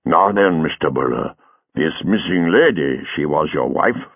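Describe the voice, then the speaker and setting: A man voicing a suave, calm male stage hypnotist who speaks in a smooth, soothing way. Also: a bass voice, unhurried, dry recording, highs cut off, pitched below the performer's own voice, exaggerated voice.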